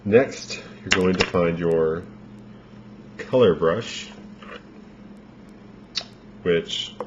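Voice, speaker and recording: A low-voiced man talks through a makeup tutorial in a loose, rambling way, his voice a bit worn as if he is losing it. The recording is dull and lo-fi, with a faint hiss behind him. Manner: relaxed, rambling instructions with fillers.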